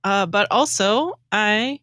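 A woman's voice: medium pitch, takes it slowly, a bit bright, no echo. A woman co-hosting a podcast, switching between light scripted skits and casual banter. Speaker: podcast co-host.

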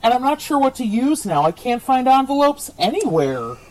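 A woman's voice: high-pitched, sing-song, normal speed, poor audio. A woman voicing a polite, earnest and easily baffled male caller on a parody radio show.

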